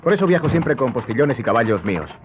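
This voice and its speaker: An actor playing a wealthy, courteous count in a radio drama, with a formal, self-possessed, authoritative manner. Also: male voice, medium pitch, very animated, fast talker, background noise, natural voice. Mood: proud, assured. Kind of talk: Spanish-language radio drama acting. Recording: muffled audio under music.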